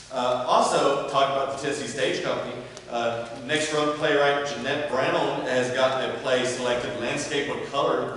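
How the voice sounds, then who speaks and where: A man speaks as host of a theater evening, giving informal announcements to those gathered. His voice sits at a medium pitch and rings heavily through a large hall. It is picked up from a distance, with faint noise underneath. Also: a bit bright. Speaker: theater company director hosting event. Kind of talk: informal event announcements to an audience.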